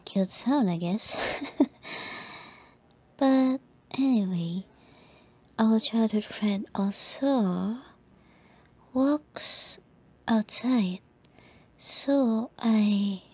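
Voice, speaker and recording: A woman acts out a sweet yandere girl in an ASMR roleplay. Her voice is fairly high and breathy, often sinking to a whisper. She speaks very slowly and haltingly, watchful and soft. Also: no echo, heavily acted.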